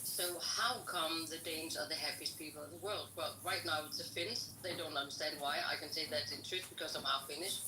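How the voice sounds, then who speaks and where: A woman's voice: sits low, fairly even intonation, fairly quick, hardly any pauses, background noise. A woman from Denmark with a Danish accent, telling wry, direct stories in a casual group discussion. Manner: wry, direct, teasing storyteller. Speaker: discussion participant. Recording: echoey room.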